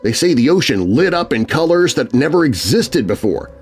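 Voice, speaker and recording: A man performs an earnest older teenage boy in a kids' horror tale. He is stern and ominous, speaking like a campfire storyteller, with a very animated delivery over faint music. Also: mid-range voice, normal speed, voice pushed up. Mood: spooky storytelling. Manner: stern, hauntingly warning. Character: earnest eldest teenage brother, ominous, stern believer in legend.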